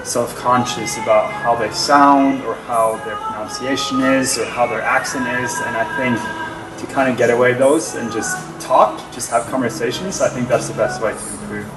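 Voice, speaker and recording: A man's voice: medium pitch, steady tone, normal speed. A man from Norway, a native Norwegian speaker, giving easygoing, earnest interview answers as an actor. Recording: roomy, distant mic, music underneath.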